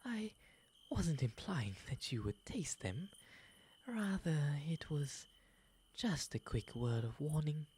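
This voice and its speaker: A man voicing a gentle, courteous owl demon gentleman in an ASMR roleplay, breathy and often whispering, with polite, formal, soothing phrasing. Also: fairly high pitch, takes it slowly. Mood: courteous, friendly. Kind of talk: ASMR roleplay, intimate slow delivery. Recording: faint steady background ambience noise.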